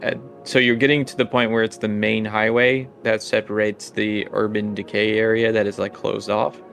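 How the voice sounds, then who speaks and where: A man's voice: lowish voice, level tone, moderate pace, creaky, fairly bright tone, soft background music. A man improvising narration as the game master of a tabletop role-playing game.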